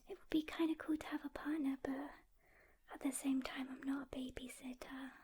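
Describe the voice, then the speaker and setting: A woman playing a reluctant loner demon hunter in an ASMR roleplay, soft-spoken and whispering, with a casual, halting delivery. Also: high voice. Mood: doubtful, relenting. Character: seasoned female demon hunter, reluctant loner, guarded but caring.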